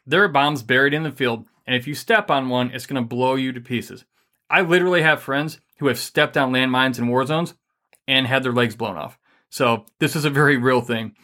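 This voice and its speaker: A man co-hosting a casual faith-based money and marriage talk, energetic and rambling with many fillers. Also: medium pitch, moderate pace.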